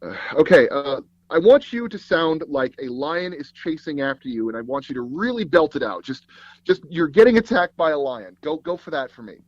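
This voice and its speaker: A man telling anecdotes casually as an interview guest, animated and acting out bits of dialogue as he goes. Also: fairly high pitch, normal speed, no room sound, dull audio. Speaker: guest, voice actor and audio drama producer.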